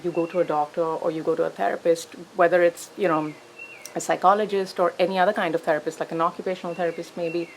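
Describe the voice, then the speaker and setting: Woman therapist, thoughtful, lower voice. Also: darkish tone, a little hiss or hum, poor audio. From India. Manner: thoughtful, many "you know" fillers. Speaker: narrative therapist. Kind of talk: reflective conversation.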